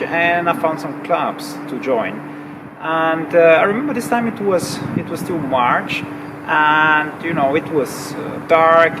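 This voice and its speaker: A man from Bulgaria with a Bulgarian accent, telling a personal story with frequent pauses, fillers and repeated words. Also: mid-range voice. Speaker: recreational diver. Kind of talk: personal story told to camera. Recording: distant mic with clear background noise.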